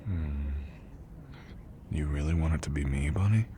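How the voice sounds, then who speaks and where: Very deep, tender young college jock, acted by a man. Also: a little slow. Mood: tender, reassuring. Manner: soft, teasing, gently reassuring. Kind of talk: intimate boyfriend ASMR roleplay. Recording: clearly audible background noise.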